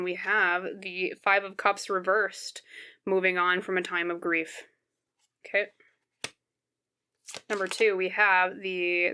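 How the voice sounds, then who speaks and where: A woman with a slightly scratchy voice giving a casual, conversational tarot reading to her viewers, explaining gently and often checking in. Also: on the low side. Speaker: tarot reader, host.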